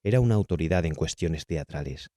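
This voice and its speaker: Male audiobook narrator, Castilian accent: low, dark tone, measured scripted delivery for gothic horror. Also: lively intonation, no room sound, studio quality. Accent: Castilian Spanish. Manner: measured, enunciated storytelling.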